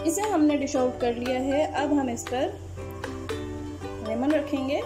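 A woman, narrating a home cooking recipe step by step in a calm voice-over as channel host. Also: high voice, a little slow, music in the background.

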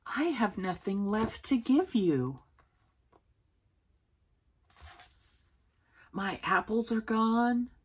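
Woman reading as a gentle, slow-spoken giving tree. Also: on the high side, animated, husky, pitched above the performer's own voice, full character voice. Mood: apologetic, sad. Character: loving, self-giving female tree, eager then weary. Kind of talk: children's storybook character reading.

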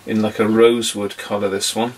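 A middle-aged man giving an unhurried, muttered, casual commentary as he works at his bench. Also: lowish voice, level tone, slight background noise. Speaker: vintage radio hobbyist presenter. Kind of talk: casual hands-on demonstration commentary. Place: small indoor electronics workbench.

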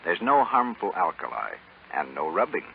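A man's voice: low-pitched, wildly swooping pitch, moderate pace, creaky. An American man announcing a scripted 1930s radio advertisement as a back-and-forth dialogue. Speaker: commercial announcer. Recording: muffled, faintly noisy 1930s radio broadcast.

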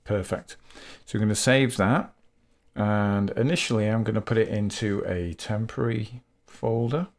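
Lower-pitched man giving a software tutorial. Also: moderate pace. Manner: methodical, explanatory. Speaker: tutorial host, game developer. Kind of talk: unscripted step-by-step software tutorial.

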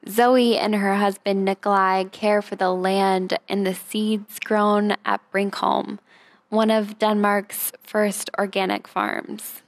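A young woman reading scripted documentary narration in a calm, measured way. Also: medium pitch. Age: young adult.

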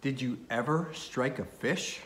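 A man voicing a gruff, blustering old whaling captain in an audiobook sea story. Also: medium pitch, a little slow, fairly bright tone, roomy sound, voice pushed up, close to an everyday voice. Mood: boisterous, impressed.

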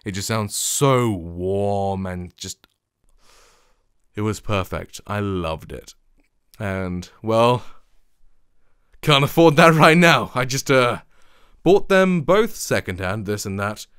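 A man's voice: lively intonation, slow pace, pauses often, a bit bright, clean tone, dry recording. Young man chatting in a dry studio. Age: young adult. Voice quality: deep voice. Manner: chatty, rambling, self-correcting. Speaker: vlogger comparing microphones. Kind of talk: casual talk to camera. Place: small treated home studio with acoustic foam. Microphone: studio condenser and shotgun mics close up, reflection filter.

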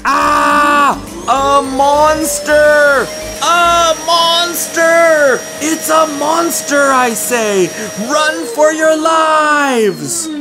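A man's voice: extremely high pitch, voice pushed up, heavily acted. A man voicing a grumpy, dramatic, easily spooked house cat for a children's storybook read-aloud. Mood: panicked, defiant. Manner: deadpan grumbling with melodramatic outbursts.

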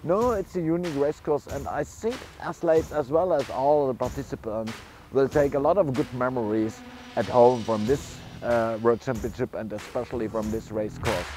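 A man's voice: medium pitch, normal speed, warm tone, a little hiss or hum. A man answering in an interview, with non-native English phrasing. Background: faint background music.

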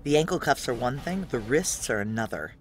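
Casting: defiant female fugitive, noir sci-fi radio play. Low, intense voice with very animated pitch. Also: brisk. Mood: taut narration. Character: desperate, defiant ex-security woman on the run. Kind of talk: audio drama acting with noir first-person narration.

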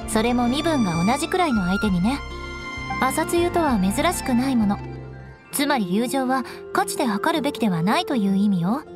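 A haughty young aristocrat, high composed voice, frank and aloof, anime game with soft music. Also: female voice, sing-song, normal speed. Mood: composed, instructive. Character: proud, cool young noblewoman, frank and aloof.

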